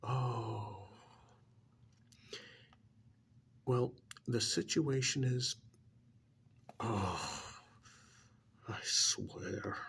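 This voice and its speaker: Casting: male actor as bitter alien posing as a stern uncle, very slow and heavily creaky, for an ASMR roleplay. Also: on the low side, heavily acted. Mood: contemptuous, bitter. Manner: stern, contemptuous, slow emphatic delivery with pauses.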